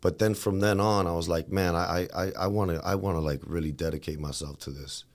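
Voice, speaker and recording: Very deep-voiced man from Miami. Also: fairly even intonation, fairly quick. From Miami, Florida, USA. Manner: loose, rambling, many fillers and restarts. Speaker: guest, fitness trainer. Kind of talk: casual interview talk.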